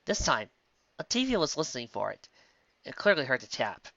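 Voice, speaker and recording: Story narrator, expressive scripted read, lively pitch swings, dry but slightly dull lo-fi recording. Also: fairly quick, vocal fry, no room sound. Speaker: fiction narrator.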